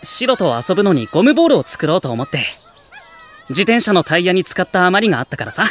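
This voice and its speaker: A man's voice: high voice, big pitch swings, fast talker, a bit bright, slight background noise. A man playing a kind, easygoing teenage boy with a casual, good-natured way of talking. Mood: cheerful.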